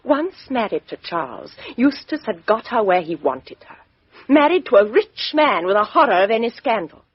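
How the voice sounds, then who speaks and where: A woman's voice: on the high side, sing-song, moderate pace, husky, no echo. An actress playing a proud, defiant, bitter young English woman in a radio mystery drama, with an English accent and clipped, intense, emotional delivery. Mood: bitter, grieving. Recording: dull, dry lo-fi radio recording.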